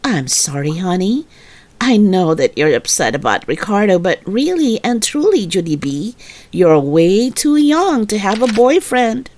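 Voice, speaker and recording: A woman voicing a patient, gently firm mother in a children's storybook, soothing and reasoning. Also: mid-range voice, sing-song, unhurried.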